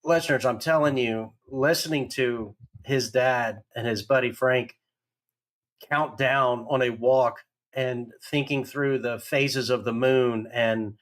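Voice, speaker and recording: A man's voice: mid-range voice, fairly even intonation, takes it slowly, low-quality recording. A man hosting a podcast, asking long, rambling, curious questions and talking up the guest to his listeners. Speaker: podcast host. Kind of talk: casual podcast interview.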